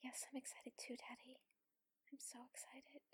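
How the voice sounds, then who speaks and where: A woman's voice: high voice, level tone, no echo. A woman playing a submissive, teasing warrior lover in an intimate adult audio roleplay, whispery and pleading. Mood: breathy, aroused. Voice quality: breathy, whispery. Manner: slow, pleading, coaxing.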